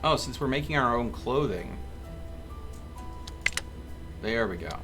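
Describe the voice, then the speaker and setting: A man's voice: mid-range voice, animated, slow. Man streaming live game commentary over music. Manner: talks to chat and mutters to himself.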